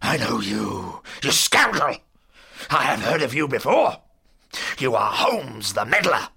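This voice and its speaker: Raspy, bellowing old villain. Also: male voice, medium pitch, very animated, unhurried, sharp tone, heavily acted. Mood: furious, accusing. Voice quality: rasping, snarling. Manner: bellowing, threatening. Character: huge, furious old doctor, a menacing, blustering villain. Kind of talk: audio drama acting.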